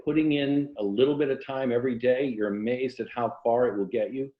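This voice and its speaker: A man's voice: mid-range voice, fairly even intonation, fairly quick. A mature American man talking casually and reflectively as a writer being interviewed. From Tampa, Florida, United States. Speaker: interview guest, writer and former journalist. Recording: roomy echo, dull muffled sound.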